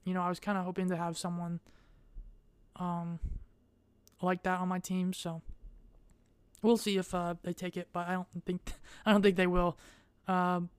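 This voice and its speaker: A young adult woman vlogging in a casual, rambling way. She speaks in a monotone and hesitates often, with many fillers. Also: on the low side, moderate pace, clean tone, dry recording.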